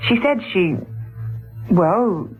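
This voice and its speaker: An actress playing an inquisitive, sociable wife in a 1940s radio drama, chatty and genteel. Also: female voice, mid-range voice, lively intonation, drawn-out words. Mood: curious. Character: inquisitive, sociable American wife among friends. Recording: tinny vintage 1940s radio recording.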